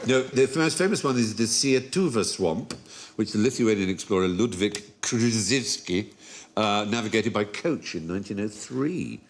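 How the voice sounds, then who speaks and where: A man's voice: on the low side, animated, normal speed. A man with an English accent hosting a comedy panel show, explaining things in an erudite, easily amused way. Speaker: panel show host, quizmaster.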